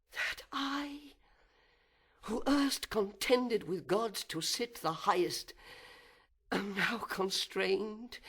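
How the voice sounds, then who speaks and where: A man performing a proud, vengeful fallen angel in an audiobook of epic verse, theatrical and bitter, with drawn-out words and breaths of whisper. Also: a little high, fairly bright tone, slightly rough, full character voice. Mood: disgusted, anguished.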